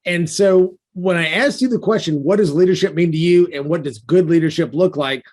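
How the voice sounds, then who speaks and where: A man hosts a podcast, talking with his guest in a friendly, easygoing way and dropping in quick asides. His voice is pitched a little above most men's. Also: a little mellow. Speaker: podcast host. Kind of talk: casual interview hosting.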